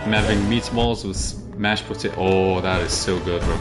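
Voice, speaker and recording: A man's voice: sits low, fairly even intonation, moderate pace, over loud music. A young man from Algeria streaming a game, chatting casually and answering viewers' chat questions.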